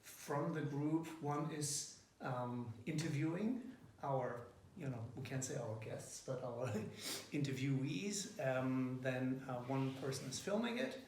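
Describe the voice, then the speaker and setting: A man's voice: mid-range voice, a little slow, few pauses, darkish tone. Man speaking from across an echoey room. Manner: hesitant, many fillers and restarts. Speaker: interviewer leading a student group. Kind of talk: casual meeting introduction. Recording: echoey room, far from the mic, faint noise.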